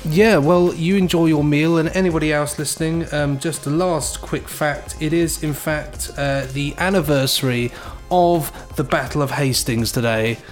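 A man's voice: a little high, fairly even intonation, normal speed, hardly any pauses, faint music behind. A British man co-hosting a podcast in casual comic chat, with a whimsical, rambling manner. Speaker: podcast co-host.

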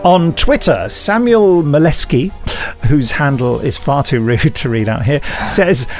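Droll male podcast host, lightly high pitched. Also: lively intonation, measured pace, a little mellow, soft background music. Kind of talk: podcast presenting and casual chat.

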